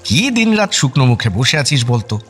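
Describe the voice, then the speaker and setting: A man acting a blustery but affectionate uncle in a radio drama, husky and colloquial. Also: mid-range voice, big pitch swings, normal speed, fairly bright tone, faint music behind. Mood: teasing, affectionate. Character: blustery, affectionate family uncle, teasing and exasperated.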